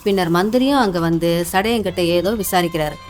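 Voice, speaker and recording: A woman narrating an animated story in a colloquial storytelling style. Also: on the low side, a little fast, soft background music.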